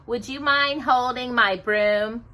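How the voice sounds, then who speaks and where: A woman's voice: on the high side, slow pace, bright, forceful tone, pitched above the performer's own voice. A woman voicing a spoiled young stepsister in a storybook, whiny, theatrical and sneering. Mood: mocking.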